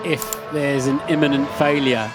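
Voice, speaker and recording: A man commentating on a race, explaining rules in an even manner. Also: mid-range voice, normal speed, very noisy.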